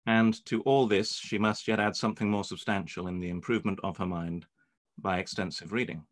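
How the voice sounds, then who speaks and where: A young man playing a proud, reserved gentleman speaking formally in a period drama read over video call. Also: on the low side, steady tone, brisk, lightly acted. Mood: exacting. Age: young adult. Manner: formal, terse. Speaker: actor in live read.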